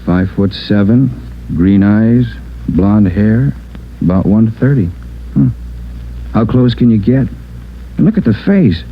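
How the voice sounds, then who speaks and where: A folksy, eager American morgue attendant who is a little muddled. His voice is lowish, in vintage radio drama style, muffled over faint hiss. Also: lively intonation, a little slow, long pauses. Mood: eager, insistent. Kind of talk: 1940s-50s radio drama acting.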